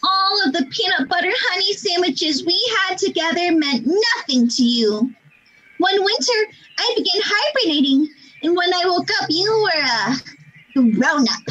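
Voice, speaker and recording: A woman's voice: very high voice, pushed and bright, heavily acted. A woman voicing a proud, wounded teddy bear knight who speaks in grand, indignant proclamations in an amateur radio play. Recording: dull lo-fi recording.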